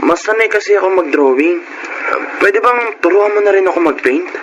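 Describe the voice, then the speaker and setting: An actor playing an eager, cheerful Filipino schoolboy who loves to draw, in a radio drama. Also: male voice, high-pitched, lively intonation, moderate pace, dull audio. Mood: earnest, coaxing. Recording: noisy radio drama track.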